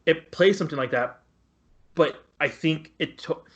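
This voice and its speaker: A man's voice: on the high side, normal speed, fairly bright tone, muffled recording. A man co-hosting a film podcast, talking casually in a rambling, stop-start way. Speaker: podcast co-host.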